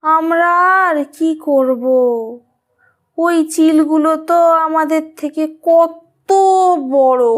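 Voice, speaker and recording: A little cartoon bird acted by a woman, high in pitch and unhurried. It speaks politely and earnestly, a plucky small creature from a children's story. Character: small clever fruit-selling bird, pleading but plucky. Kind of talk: Bengali children's cartoon voice acting.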